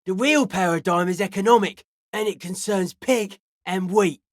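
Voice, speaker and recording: A woman voicing a suspicious, aloof young hardcore raver in a video game, speaking in deadpan, clipped, cryptic pronouncements. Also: mid-range voice, normal speed, dry recording, studio quality, natural voice. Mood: deadpan, wary. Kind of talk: video game character dialogue.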